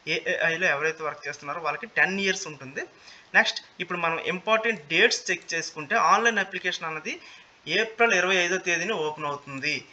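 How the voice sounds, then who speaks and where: Very bright-voiced Telugu Indian man, explaining. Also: a little high. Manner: repeats key details, mixes in English terms. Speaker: presenter of job notification videos. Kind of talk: informal explainer of a job notice. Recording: muffled lo-fi audio with faint noise.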